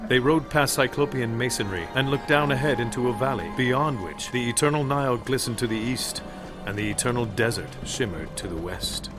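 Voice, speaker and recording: A man's voice: mid-range voice, moderate pace, close to an everyday voice. A man performing as the solemn narrator of a radio drama, reading literary, scripted narration. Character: solemn male narrator of an eerie adventure tale. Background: music.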